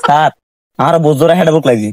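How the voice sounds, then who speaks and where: A man's voice: fairly high pitch, rapid-fire, darkish tone, lightly acted. A man with a regional Bangladeshi accent playing a cheeky junior police detective, deferring to his boss in a comedy sketch. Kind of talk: amateur comedy sketch dialogue.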